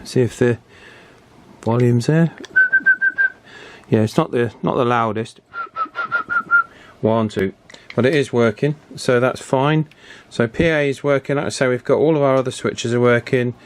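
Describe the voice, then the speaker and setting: A man giving a casual, chatty hands-on repair commentary in an unhurried way, as if thinking aloud. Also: medium pitch, very noisy. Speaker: radio repair hobbyist presenting his work.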